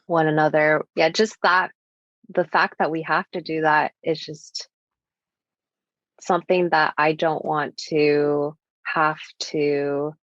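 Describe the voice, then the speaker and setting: A woman's voice: low voice, level tone, slow pace, fairly bright tone, no echo. A young woman from the United States whose first language is Japanese, speaking as a podcast guest in an earnest, thoughtful way, with many casual fillers. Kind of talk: reflective personal conversation. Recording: dull lo-fi sound.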